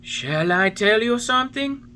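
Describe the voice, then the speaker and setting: A woman's voice: a little high, lively intonation, unhurried, sharp tone, slight background noise. A woman voicing an impudent wooden boy marionette with cheeky, defiant retorts.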